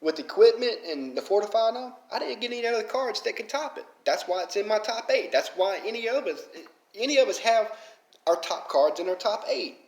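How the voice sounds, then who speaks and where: Southern man with a thick Alabama accent, casual vlog rant, fairly high-pitched, recorded in a roomy space. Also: expressive, a little fast. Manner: rambling, heavy "you know" fillers, fired up. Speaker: mobile game player.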